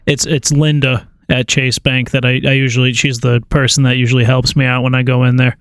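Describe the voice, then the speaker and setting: Clear-voiced man, hesitant on the phone. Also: mid-range voice, level tone, fairly quick, no echo, studio quality. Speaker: prank caller. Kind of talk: casual phone conversation.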